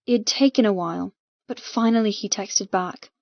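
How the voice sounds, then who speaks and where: A woman's voice: medium pitch, moderate pace, no room sound. A woman reading first-person fiction as an audiobook narrator, with an emotive, dramatic delivery. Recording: dull, muffled, dry recording.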